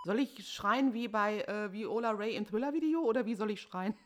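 A woman's voice: mid-range voice, moderate pace. A woman talking casually between takes of a recording. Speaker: podcast member in audio drama outtakes.

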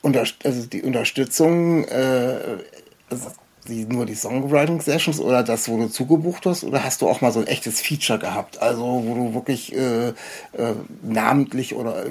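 Man, husky voice, mid pitch, halting casual interviewer, searching for words. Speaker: interview host.

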